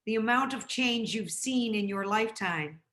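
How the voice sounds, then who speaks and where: A woman's voice: a little high, expressive, normal speed, a bit bright. A woman reporter hosting an interview in a conversational broadcast style.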